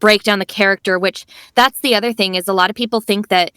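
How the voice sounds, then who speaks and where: A young adult woman talks as a guest on a podcast in a loose, chatty way, filling her sentences with "like" and "you know". Her voice sits at a middle pitch. The recording is close and dry, with no room sound. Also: fast talker, clean tone, dry recording. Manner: chatty, animated, many "like" and "you know" fillers. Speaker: voice actress guest. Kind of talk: casual podcast interview.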